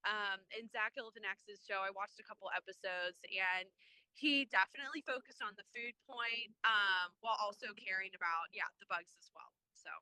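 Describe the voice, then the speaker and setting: A woman's voice: mid-range voice, a little fast, bright, forceful tone, smooth, no room sound, rough recording. A woman landscaper speaking as a panel guest in a casual chat, enthusiastic and rambling, with many fillers.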